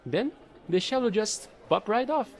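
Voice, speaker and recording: A man playing a chatty, excitable fallen alien angel who trades in space, gushing and rambling in an audio roleplay. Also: sits high, unhurried. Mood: eager, friendly. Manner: bubbly, gushing, rambling, apologetic.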